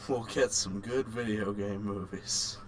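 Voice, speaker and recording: A man's voice: on the low side, a little slow. A man co-hosting a podcast in casual, conversational chat. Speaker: podcast co-host. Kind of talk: casual chat, brief interjections.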